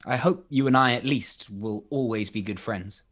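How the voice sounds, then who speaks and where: A man playing a courteous young gentleman in a period radio play, earnest, measured and regretful. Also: medium pitch, natural voice.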